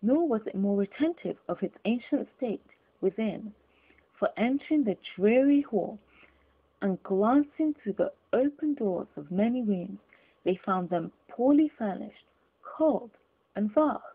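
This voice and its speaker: A woman reading classic literature aloud as an audiobook narrator, in an expressive, deliberate storytelling manner. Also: medium pitch, husky, phone-quality sound. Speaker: audiobook reader.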